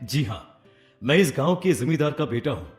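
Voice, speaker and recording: A man's voice: fairly high pitch, big pitch swings, moderate pace, a little mellow, echoey room, faint music behind. A man playing a smitten, courteous young landlord's son in a cartoon moral story, polite and romantic. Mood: courteous, interested.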